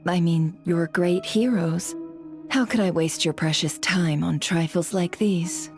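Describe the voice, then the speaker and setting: A shrewd female broker speaks in a lowish, composed voice. She is coy and insinuating, a polished video game character with faint music behind her. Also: a little slow, a little mellow. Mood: coy, sly. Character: shrewd, sly female intelligence broker, coolly polite.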